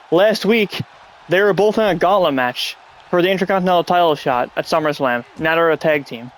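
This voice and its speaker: A man's voice: high-pitched, moderate pace. A man with a stuffy nose who is coming down with a cold, hosting a casual wrestling review chat at home in a rambling, sarcastic way. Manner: rambling, sarcastic, often annoyed. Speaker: podcast host.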